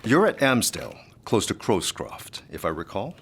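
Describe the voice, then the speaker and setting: An actor playing a smooth, flattering gentleman guest, suave, courteous and florid, in an audio drama. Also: on the low side, normal speed, a little hiss or hum, full character voice. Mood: curious.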